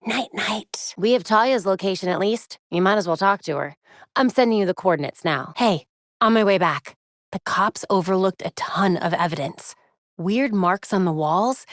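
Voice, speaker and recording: A woman voices a young female masked crimefighter for a video game. She is quick-witted and wry, with a determined edge under the quips. Her voice sits at a medium pitch, captured in a very dry studio sound. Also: expressive, no room sound, lightly acted. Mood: hushed. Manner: wry, quippy.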